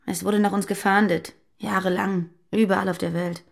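A woman playing a fearful, guarded former militant on the run in a naturalistic radio drama, confiding and halting. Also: mid-range voice, flat delivery, creaky, close to an everyday voice. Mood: weary, confessing.

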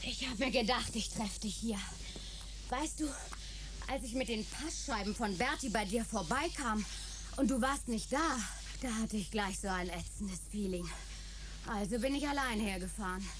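A woman's voice: a little high, moderate pace, background noise, lightly acted. A woman playing a plucky, streetwise young friend of a detective in a radio drama, casual and slangy. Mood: breezy, matter-of-fact.

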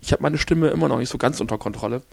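Hoarse, gravelly male podcast host. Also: mid-range voice, racing, dry recording. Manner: rambling, with many fillers and restarts. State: hoarse after illness. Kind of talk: casual solo podcast talk.

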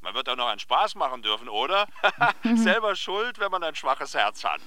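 An actor playing an excitable, flattering male publisher in a German radio drama, theatrical and wry in manner. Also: a little high, moderate pace, no room sound. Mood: gleeful, excited.

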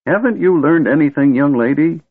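A man's voice: medium pitch, big pitch swings, a little slow, creaky, slightly rough, dry recording, pitched above the performer's own voice, exaggerated voice. A man voicing a wise, eccentric old wizard who is secretly a god, testy and stern in turn. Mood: scolding. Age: older. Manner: cranky yet wistful, scolding. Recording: tinny phone-like dry recording.

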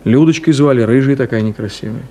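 Over a faintly hissy old radio recording comes a man acting a middle-aged cynic with a mid-pitched voice. He speaks in a clipped, ironic way, dismissive and emotionally detached, in the manner of 1970s Soviet radio drama. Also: fast talker, mellow, natural voice. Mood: defensive, irritated. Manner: ironic, clipped, theatrical delivery.

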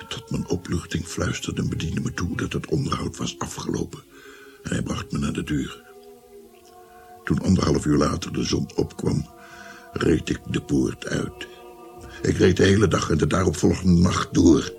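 An actor playing an old grandfather in a radio play, recounting his past in a slow, grave, guilt-ridden way. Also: deep voice, vocal fry, a little mellow, soft background music. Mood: grave, recollecting. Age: older. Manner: slow, grave, reflective storytelling. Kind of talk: radio play narration and dialogue.

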